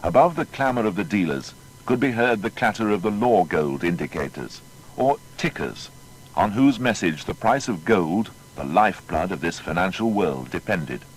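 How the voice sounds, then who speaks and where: A man's voice: expressive, moderate pace, rough recording. A man narrating a scripted biographical documentary in a formal, dramatic storytelling manner. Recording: faint noise and low rumble.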